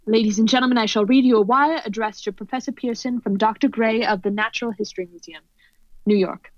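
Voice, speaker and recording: A woman acts out a poised female news commentator from an old-time radio play. Her voice sits fairly high and her delivery is formal and measured. The sound is dry and somewhat dull. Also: steady tone, no room sound, natural voice. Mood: formal, composed. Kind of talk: old-time radio drama interview and reporting. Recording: dull lo-fi audio.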